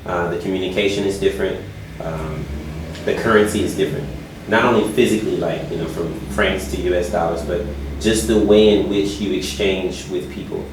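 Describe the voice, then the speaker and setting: Deep-voiced guy answering interview questions slowly, lots of uhs, super echoey room. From United States. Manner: measured, deliberate, many "uh" fillers. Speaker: volunteer teacher. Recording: very echoey room, faint noise.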